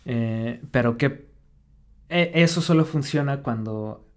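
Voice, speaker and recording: A man from Mexico with a Mexican Spanish accent, speaking as an artist in an interview, thinking aloud in a halting way with many fillers, restarts and tag questions. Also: mid-range voice. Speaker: artist guest. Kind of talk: reflective interview talk.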